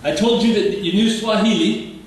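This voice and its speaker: Guest preacher, male, playful, short phrases for interpreter; boomy distant church sound. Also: normal speed, a little hiss or hum. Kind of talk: sermon with interpreter. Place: church sanctuary. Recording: echoey church hall from far off.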